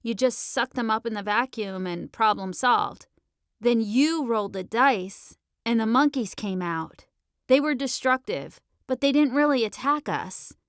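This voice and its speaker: A woman playing a sharp, argumentative sixteen-year-old girl in an audiobook, speaking in a slangy, emphatic, insistent way. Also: on the high side, animated, a little slow, clean tone, no room sound, clean studio sound. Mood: explaining.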